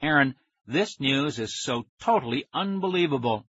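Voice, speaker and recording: A man's voice: medium pitch, lively intonation, normal speed, a bit bright, slightly rough, dry recording, dull audio. A man voicing an earnest, eager boy hero in a children's audiobook, often exclaiming and questioning. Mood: curious, excited. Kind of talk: children's audiobook character acting.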